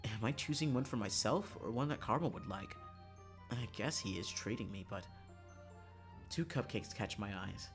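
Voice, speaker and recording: Animated male streamer narrating a story game. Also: fairly low pitch, moderate pace, music in the background. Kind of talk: reading a visual novel aloud, dramatic narration.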